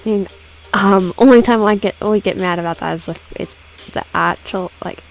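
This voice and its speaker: A woman's voice: medium pitch, moderate pace, creaky, rough recording. A woman casually reading a visual novel game aloud with personal asides, often stumbling over words and repeating phrases. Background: background music.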